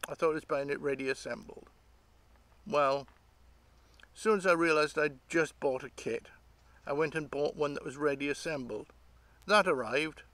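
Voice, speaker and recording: A middle-aged British man presents his hobby projects in a casual, off-the-cuff style. His voice sits a little higher than most men's, and he rambles hesitantly as he explains. He is recorded outdoors, with a dry sound free of echo. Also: fairly bright tone. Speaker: host of an electronics hobby channel. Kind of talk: casual unscripted show-and-tell. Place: outdoors by leafy trees.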